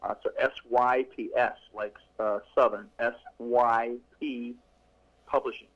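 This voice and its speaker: A man's voice: medium pitch, steady tone, a little slow, highs cut off. A middle-aged male author talking casually in an interview, rambling with many restarts and fillers. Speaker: author guest.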